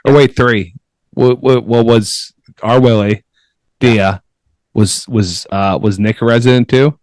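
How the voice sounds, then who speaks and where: A man with a medium-pitched voice banters casually as a podcast co-host. He has a joking, teasing way of cutting in, and the sound is dry with no room echo. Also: steady tone, a little slow, a little mellow.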